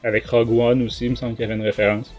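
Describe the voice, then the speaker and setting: Podcast host: man, Québécois French accent, average pitch, informal and halting, faint music bed. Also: level tone, darkish tone, highs cut off. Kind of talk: casual talk show chat.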